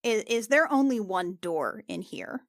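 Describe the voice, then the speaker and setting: A woman's voice: medium pitch, expressive, measured pace, dry recording, clean studio sound. A woman talking casually as a player in a tabletop roleplaying game, describing what her character does.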